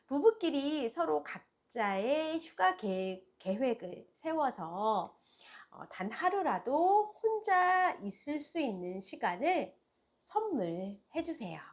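Middle-aged female host, daycare director giving parents soft advice; a bit high, slow and melodic. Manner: slow, gentle, sing-song delivery. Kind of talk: warm advice talk to parents.

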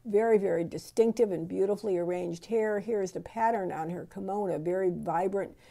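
A middle-aged woman narrates auction pieces in an admiring, unhurried way. Her voice has a heavy gravelly fry and a mellow, dark tone. She often pauses on ums and restarts her phrases. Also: medium pitch. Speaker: auction host presenting antique dolls. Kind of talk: unscripted voice-over commentary.

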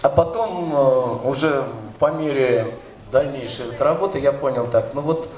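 A man's voice: medium pitch, moderate pace, a little mellow, dull audio. A middle-aged man giving an informal seminar talk on a stage with a handheld mic, loose and rambling, full of fillers and restarts. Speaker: seminar speaker, dubbing director. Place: stage of a large hall. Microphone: handheld mic, filmed from the audience. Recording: echoey hall, recorded from far off.

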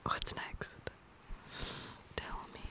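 A woman doing soft, intimate girlfriend ASMR, murmuring and whispering affectionately into a close microphone. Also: very slow. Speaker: ASMR roleplay performer.

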